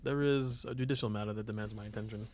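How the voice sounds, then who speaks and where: A man's voice: fairly low pitch, fairly even intonation, fast talker, a little mellow, soft background music, pitched below the performer's own voice, lightly acted. A man voicing a haughty, stern ruling duke in a game, formal and scornful. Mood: stern, dismissive.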